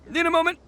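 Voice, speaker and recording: A man's voice: sits high, vocal fry, fairly bright tone, a little hiss or hum, heavily acted. A man voicing a brash, boastful, big-hearted young oni gang boss in a video game, blustering and stammering theatrically. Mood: strained, flustered.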